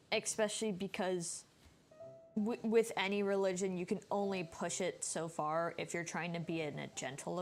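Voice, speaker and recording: A woman streaming a game, giving casual live commentary and thinking aloud in a chatty way. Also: medium pitch.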